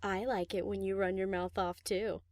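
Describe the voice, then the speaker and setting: A woman's voice: mid-range voice, level tone, moderate pace, darkish tone, no room sound, clean studio sound, lightly acted. A woman acting a cheeky, teasing young DJ pony in a fan audio drama, flippant and casual.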